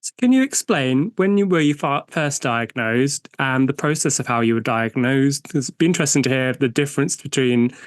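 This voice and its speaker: A British man hosting an interview podcast, friendly and a little halting when he speaks off the cuff. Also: mid-range voice, lively intonation, no room sound, clean studio sound. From United Kingdom. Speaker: podcast host.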